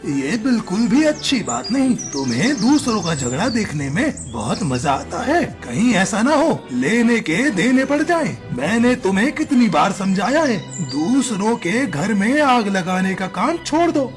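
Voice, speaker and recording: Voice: mid-range voice, very animated, unhurried, few pauses, faint music behind. A performer voicing a sensible male parrot husband in a cartoon, reproachful and lecturing.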